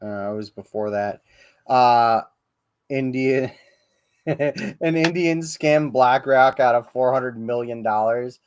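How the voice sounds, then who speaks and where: Male podcast host, slow and meandering, profane, dry close-mic sound. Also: mid-range voice, clean tone, no echo. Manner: rambling, profane, hesitant with many ums. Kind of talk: casual livestream chat. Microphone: livestream podcast mic.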